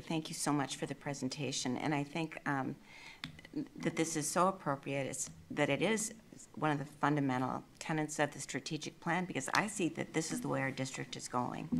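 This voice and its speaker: A woman in her sixties, a school board member, giving earnest, measured remarks through a desk mic in a meeting room. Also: deep voice, creaky, fairly bright tone, a little hiss or hum. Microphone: desk gooseneck mic.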